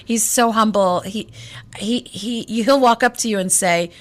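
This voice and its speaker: A woman's voice: medium pitch, unhurried. A woman who grew up in Egypt, a jazz singer telling stories in a casual radio interview, acting out quotes and restarting her words. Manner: animated storyteller, acts out quotes, repeats words when starting. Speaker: jazz vocalist guest.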